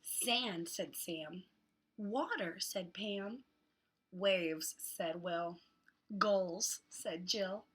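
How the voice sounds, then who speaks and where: A woman's voice: fairly low pitch, very slow, breathy edge, poor audio. A woman reading a picture book aloud for young children, with exaggerated, dramatic emphasis on words. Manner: exaggerated, animated delivery with dramatic word emphasis. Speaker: children's read-aloud host.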